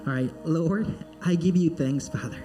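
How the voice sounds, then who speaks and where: A man's voice: fairly high pitch, normal speed, music in the background. A man from Peru, a missionary speaking in church in a friendly way and leading prayer. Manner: friendly and joking, then earnest in prayer. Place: church sanctuary.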